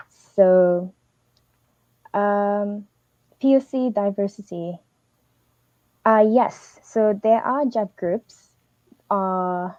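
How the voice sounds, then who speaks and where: A young woman from Trinidad and Tobago, giving fast, earnest advice as a teaching assistant on a livestream. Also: mid-range voice, warm tone, no room sound, dull audio. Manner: fast, earnest, advising directly.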